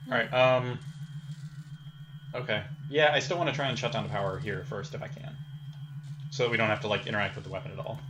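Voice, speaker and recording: A man's voice: fairly low pitch, fairly even intonation, fast talker, pauses often. A man talking casually as a player in a tabletop role-playing game. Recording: noisy group recording with room ambience.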